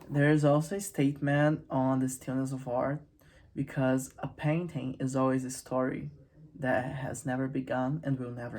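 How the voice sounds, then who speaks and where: A woman's voice: extremely low pitch, a little mellow. A woman hosting a casual, humorous literary commentary, with wry, irreverent asides in a conversational way. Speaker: host of a literary channel.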